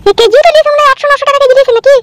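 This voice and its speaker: A woman's voice: very high-pitched, fairly even intonation, racing, no room sound, clean studio sound. A woman voicing a chatty, inquisitive middle-aged village wife in a comedy cartoon. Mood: curious.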